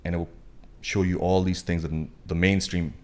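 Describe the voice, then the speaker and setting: A man's voice: sits low, level tone, brisk, darkish tone. A man in his twenties from Pakistan, chatting casually as a guest and rambling with many fillers and restarts. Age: 20s. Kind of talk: casual podcast chat.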